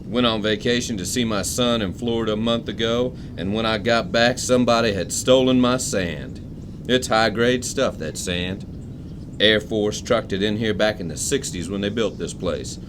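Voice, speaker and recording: A man's voice: on the low side, normal speed, noisy background, close to an everyday voice. An actor playing an eccentric, suspicious older man, a retired engineer with folksy, clipped country phrasing, in an audio drama. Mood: folksy, proud. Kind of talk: full-cast audio drama acting.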